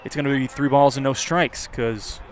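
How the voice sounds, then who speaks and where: A man's voice: medium pitch, steady tone, normal speed. Male baseball play-by-play announcer, noisy ballpark. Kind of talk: live baseball radio commentary. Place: outdoors at a ballpark. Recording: clear outdoor stadium noise behind. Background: outdoor ballpark ambience.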